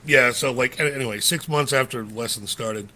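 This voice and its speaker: A man's voice: mid-range voice, moderate pace, sharp tone. A man co-hosting a podcast, telling a rambling anecdote in a loose, slangy way. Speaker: podcast co-host. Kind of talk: casual storytelling chat.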